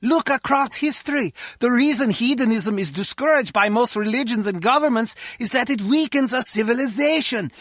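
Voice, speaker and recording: A man's voice: very high voice, normal speed, hardly any pauses, fairly bright tone, no room sound, studio quality. An actor playing an earnest, pedantic German henchman in a video game, with a German accent. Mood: earnest lecturing. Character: philosophical German henchman guard, earnest and excitable. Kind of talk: video game character dialogue.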